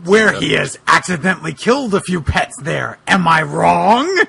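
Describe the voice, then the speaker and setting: A man plays an earnest, protective boogeyman for a comic dramatic reading. The voice sits a little high, bright and rough with husky edges. His delivery is deliberate and melodramatic. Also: big pitch swings, no room sound, exaggerated voice. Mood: resolute. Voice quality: husky, gravelly. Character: the Boogeyman, earnest protective supernatural male avenger. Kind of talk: dramatic fan fiction reading.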